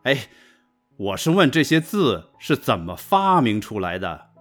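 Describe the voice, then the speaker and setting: A man's voice: mid-range voice, very animated, a little slow, fairly bright tone, soft background music. A man playing an insistent, instructive father of a young girl in a radio drama.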